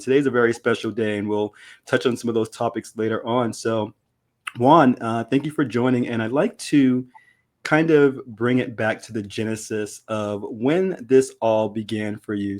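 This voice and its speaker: A man's voice: medium pitch, darkish tone. A man hosting a live podcast, upbeat and friendly as he introduces the show and asks questions, with some fillers. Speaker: podcast host. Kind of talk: casual livestream podcast hosting.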